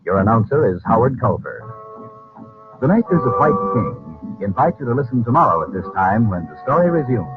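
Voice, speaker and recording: A man's voice: medium pitch, very animated, fairly quick. A man working as a 1940s radio announcer, reading scripted copy with polished, theatrical salesmanship. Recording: tinny old radio broadcast with music. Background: music.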